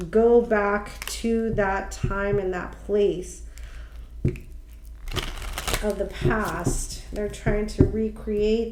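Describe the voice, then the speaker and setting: A woman giving a casual tarot card reading, speaking slowly, a little nasal and stuffy from allergies, musing aloud with ums and restarts. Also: medium pitch, roomy sound, noisy background. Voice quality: slightly nasal. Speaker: tarot reader.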